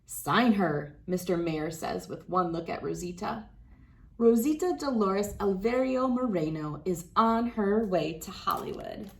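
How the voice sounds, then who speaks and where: A woman reading a children's story aloud as host, with dramatic, deliberate phrasing and clear emphasis. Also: medium pitch, animated. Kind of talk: expressive picture-book read-aloud.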